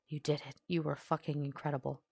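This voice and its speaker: A woman voicing a gruff, hot-headed young man who swears forcefully and is wracked with guilt, in an audiobook-style reading. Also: sits low, little pitch movement, fairly quick, no room sound, exaggerated voice. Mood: tearful, broken.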